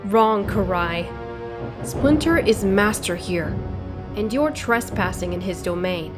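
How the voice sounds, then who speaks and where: A woman's voice: medium pitch, animated, takes it slowly. A woman acts out a fiercely loyal female warrior. Her mid-range voice is curt and threatening, set over strong music in a motion comic. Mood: cold threat. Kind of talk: motion comic fan dub acting.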